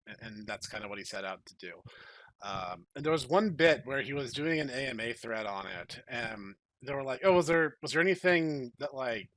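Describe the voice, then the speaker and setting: Bright-voiced man hosting a podcast. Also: medium pitch, animated, moderate pace, no echo, rough recording. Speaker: podcast host and story narrator. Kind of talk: casual chat and dramatic reading.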